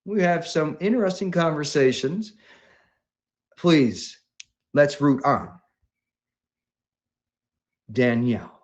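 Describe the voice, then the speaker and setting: A man hosting a livestream, talking in an enthusiastic, rambling way with many halting pauses. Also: fairly high pitch, expressive. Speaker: livestream host.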